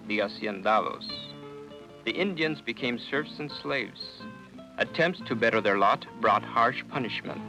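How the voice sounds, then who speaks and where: A man giving measured, solemn scripted narration for a documentary. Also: mid-range voice, bright, forceful tone, low-quality recording. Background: guitar music.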